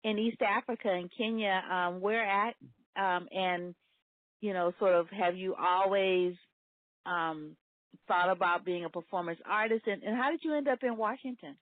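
A woman hosting a radio interview, asking hesitant, soft-spoken questions full of fillers. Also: medium pitch, moderate pace, dry recording. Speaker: radio host. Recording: tinny dry phone-like sound.

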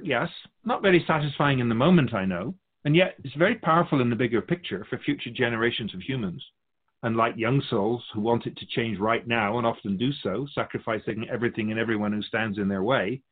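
A man playing a wise otherworldly guide of souls, teaching in a measured, philosophical and gently instructive conversational way. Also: medium pitch, expressive, phone-quality sound, close to an everyday voice. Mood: calm, instructive. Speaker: guest answering questions. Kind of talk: conversational spiritual teaching, question and answer.

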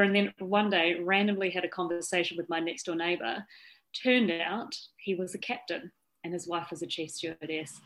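A woman in her thirties with a New Zealand accent, chatting as an interview guest, upbeat and laughing often. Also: fairly low pitch. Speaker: guest, yacht officer and founder. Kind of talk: casual interview chat.

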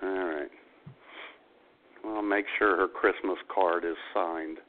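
A man's voice: deep voice, fairly even intonation, slow. A man hosting a comedy podcast, chatting casually in a wry, mock-solemn way. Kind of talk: casual joking banter. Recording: thin phone-like band-limited audio.

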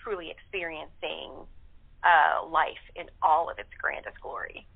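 A woman guest in a reflective discussion, speaking earnestly and philosophically with deliberate phrasing. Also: sits low, lively intonation, vocal fry, breathy edge. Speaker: radio-play cast member, guest. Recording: narrow telephone line.

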